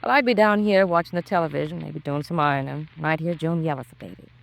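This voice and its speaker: A woman's voice: low voice, fast, creaky, rough recording. An actress playing a nosy, gossipy, disapproving landlady in a 1950s radio crime drama, with folksy, chatty colloquial speech. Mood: confiding.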